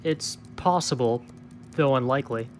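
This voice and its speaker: A guarded male scientist played by a man answers a superior in an audio drama. He is formal, dutiful and restrained, with measured replies at a middle pitch. The recording has a faint noisy hum. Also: darkish tone, close to an everyday voice. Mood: resigned, careful. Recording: noticeable background hum and noise.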